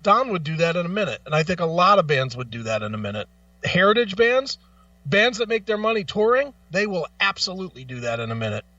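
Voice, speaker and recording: A man's voice: high-pitched, big pitch swings, fast, bright, forceful tone, no echo, recorded from a distance, muffled recording. A man hosting a talk show in an opinionated rant, blunt, profane and argumentative, repeating phrases for emphasis. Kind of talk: opinionated music talk, ranting.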